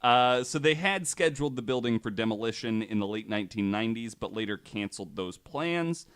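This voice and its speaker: A young man hosting a casual podcast, retelling a story in a relaxed way with lots of fillers. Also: medium pitch, a little fast, clear, resonant tone. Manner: relaxed, many fillers, voices others' claims with mock quotes. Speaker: podcast host.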